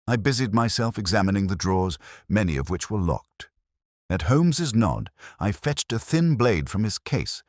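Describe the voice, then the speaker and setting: A man narrating a scripted mystery story in a measured, formal literary way. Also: low-pitched, dry recording, clean studio sound. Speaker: audiobook narrator.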